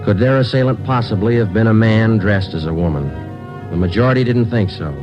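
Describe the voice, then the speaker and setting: An actor playing a police detective who narrates a 1950s radio crime drama in a clipped, flat, deadpan manner. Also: male voice, lowish voice, a little fast, over music, lightly acted. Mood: matter-of-fact. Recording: dull lo-fi old radio broadcast.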